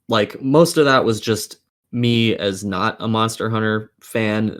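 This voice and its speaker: A man with a fairly low voice talks on a casual gaming podcast. He rants with exasperated emphasis and swears freely as he lays out his opinions. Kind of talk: heated opinion rant in a casual group chat. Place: remote voice call over the internet.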